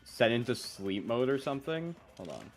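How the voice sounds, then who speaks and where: A man's voice: medium pitch, sing-song, moderate pace. Man casually streaming over game music. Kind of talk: casual live game commentary.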